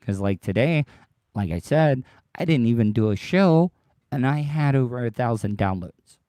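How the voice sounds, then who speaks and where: A man hosting his own podcast livestream, rambling and repeating himself as he talks straight to his listeners, his voice worn deeper than usual by tiredness. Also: animated, moderate pace, dark tone, no room sound. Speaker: podcast host. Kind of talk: casual solo livestream monologue.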